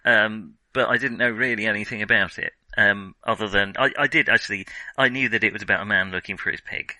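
A man co-hosting a film podcast, talking casually and thinking aloud with frequent hesitant pauses. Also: fairly low pitch, bright, forceful tone, dry recording, low-quality recording. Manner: hesitant, thinking aloud, pausing often mid-sentence.

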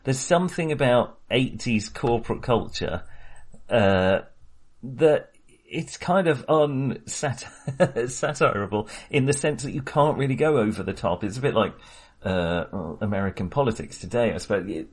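A man's voice: medium pitch, expressive, a little slow, breathy edge. A man co-hosting a casual film discussion, chatty and hesitant, with many fillers and restarts and frequent laughs. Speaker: podcast co-host.

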